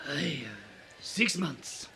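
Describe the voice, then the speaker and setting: An actor playing a flashy, evasive bar owner at a beach resort in a fan audio drama, expressive in delivery. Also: male voice, a little high, very slow, creaky, a little hiss or hum, full character voice. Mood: evasive, sheepish.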